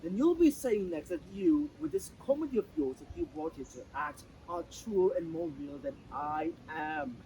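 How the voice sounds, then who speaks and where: An actor in a dramatic play reading, playing a sceptical, exasperated theatre director who is brusque and scoffing. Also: male voice, a little high, expressive, moderate pace, few pauses, dark tone, poor audio. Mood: sarcastic. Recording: faint background noise.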